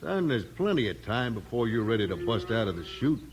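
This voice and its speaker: A man's voice: lowish voice, sing-song, brisk. A stern cowboy father in a vintage radio western. He sounds folksy and firm, with hugely varied intonation, protective of his boy. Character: stern, protective old-west rancher father. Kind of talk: 1950s radio western acting.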